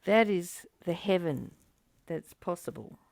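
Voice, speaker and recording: A woman speaks slowly as a spiritual teacher, in a voice that often drops into creak. Her pitch rises and falls widely as she reads and reflects, earnest and emphatic in how she puts each point. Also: expressive, darkish tone. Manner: earnest, emphatic, with rhetorical questions and repeated phrases. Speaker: spiritual teacher leading a study of a course text. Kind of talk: spiritual teaching, reading aloud with reflective commentary.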